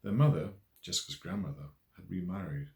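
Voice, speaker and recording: A man with a deep voice narrates a story in a measured, expressive literary style. The recording is roomy, with audible echo around the words. He reads scripted prose as an audiobook narrator. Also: gravelly. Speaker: author reading own novel aloud.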